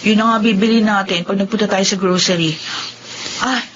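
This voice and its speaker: A woman playing an affectionate, gently teasing young wife and mother in a Filipino radio drama. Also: mid-range voice, fairly even intonation, brisk, natural voice. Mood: casual, affectionate. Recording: dull lo-fi radio recording, faint noise.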